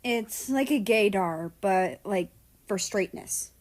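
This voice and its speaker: A woman's voice: mid-range voice, expressive, slow pace. A woman voicing a casual, playful young man with slangy, teasing speech in a read-aloud story. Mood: reluctant. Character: young male America, casual, playful, slangy, easily exasperated.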